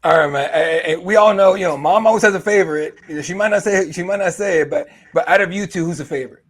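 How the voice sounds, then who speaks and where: Fast-talking, laid-back male interviewer. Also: sits high. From Mexico. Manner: laid-back, laughs often, says "bro" and "dude". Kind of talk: casual interview chat.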